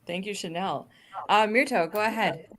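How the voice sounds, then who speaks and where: A woman's voice: mid-range voice, normal speed, fairly bright tone. A woman hosting a live online panel in a casual, informal style. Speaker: panel host.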